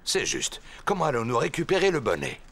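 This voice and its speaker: A man's voice: medium pitch, wildly swooping pitch, brisk, a bit bright, a little hiss or hum. A man voicing a good-natured, hesitant father pig in a cartoon, pondering aloud. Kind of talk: cartoon dub acting.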